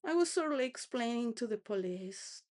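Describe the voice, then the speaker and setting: A woman's voice: fairly high pitch, expressive, brisk, darkish tone. A woman rambling through a personal monologue, full of restarts and wandering thoughts, sometimes laughing between phrases. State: homeless.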